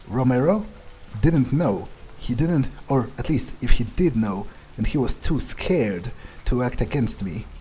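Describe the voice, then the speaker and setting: A man voicing a power-hungry, menacing vampire in a grandiose, brooding in-character monologue for a game playthrough, with trailing pauses. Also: mid-range voice, warm tone, slight background noise. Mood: calculating, musing. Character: power-hungry, menacing male vampire, musing coldly on revenge.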